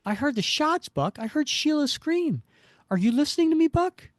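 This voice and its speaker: A man acts out an anxious young woman from a crime comic, pitched high. She sounds reproachful and scared, her tone rising and falling sharply. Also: big pitch swings, normal speed, no echo, clean studio sound. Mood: distressed reproach.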